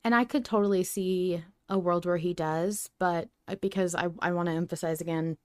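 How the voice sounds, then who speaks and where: A woman's voice: lowish voice, steady tone, normal speed, darkish tone, clean tone, dry recording, clean studio sound. A woman co-hosting a podcast in casual discussion, effusive and peppering her talk with "like". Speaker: podcast co-host.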